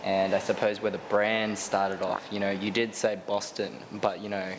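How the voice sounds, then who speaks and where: A man's voice: fairly low pitch, little pitch movement, moderate pace, background noise. A man speaking as the business partner of a brand, explaining fluently in a casual interview.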